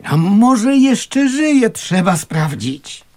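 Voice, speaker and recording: An actor playing a shabby, cautious but kindly homeless man in a radio play, speaking hesitantly in a husky, breathy voice. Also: high-pitched, very animated, darkish tone, exaggerated voice. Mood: urgent, cautious. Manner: hesitant, halting. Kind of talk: naturalistic radio drama acting.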